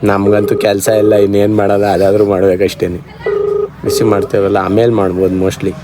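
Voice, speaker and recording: A man's voice: lowish voice, level tone, fairly quick, background noise. A man with a Karnataka Kannada accent chatting casually as host of a prank-call vlog.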